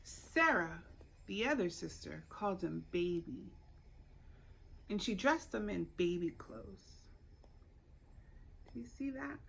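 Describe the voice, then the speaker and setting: A woman teacher reading a picture book aloud to young children, expressively, pausing to put questions to the listeners. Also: medium pitch, expressive, creaky, a bit bright, slight background noise. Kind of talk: read-aloud story time for young children.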